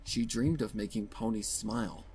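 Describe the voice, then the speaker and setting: A man narrating scripted fan fiction as a dramatic reading, solemn and measured. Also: lowish voice, crowd noise.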